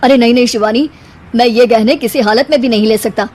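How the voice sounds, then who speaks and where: A woman's voice: on the high side, a little fast, darkish tone, lightly acted. A woman voicing a worried, conscientious young husband in a family story.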